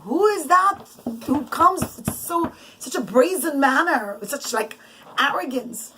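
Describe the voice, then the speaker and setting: A woman's voice: high-pitched, expressive, unhurried, bright, forceful tone, slight background noise, heavily acted, poor audio. A woman playing a suspicious, irritable male king in a bedtime story, brusque and emphatic. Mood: indignant.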